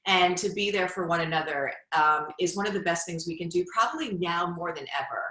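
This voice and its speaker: Middle-aged woman, lowish bright forceful voice, effusive podcast host, encouraging, echoey room. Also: fairly quick. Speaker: podcast host, former hospice nurse. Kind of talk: warm podcast interview. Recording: roomy echo.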